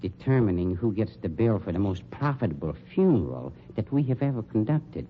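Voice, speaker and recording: An actor playing a suave, menacing funeral director turned sleuth, with an American accent and formal, pompous, insinuating speech in old-time radio drama style. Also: male voice, on the low side, sing-song, brisk. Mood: grimly determined. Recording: dull, noisy old radio recording.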